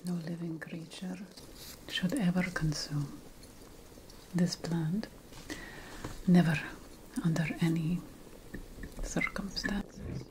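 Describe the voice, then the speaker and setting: A woman playing an ancient Sumerian witch priestess in a whispered ASMR fantasy role-play, breathy, solemn and deliberate as she addresses an apprentice. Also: on the low side, fairly even intonation, mellow, slight background noise, rough recording. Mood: solemn warning.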